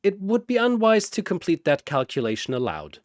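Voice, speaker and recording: A man's voice: high voice, wildly swooping pitch, moderate pace, no echo, clean studio sound, voice pushed up. A man voicing a precise, emotionless android in a science fiction story, speaking formally and analytically. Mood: detached. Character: precise, emotionless male android calculating threats.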